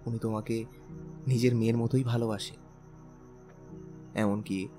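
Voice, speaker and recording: Romantic audio drama lead: male actor as devoted young groom, teasing yet earnest, mid-pitched, over music. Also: little pitch movement, measured pace, warm tone, lightly acted. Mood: earnest, tender. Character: tender, teasing young bridegroom devoted to his bride. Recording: music bed under the voice.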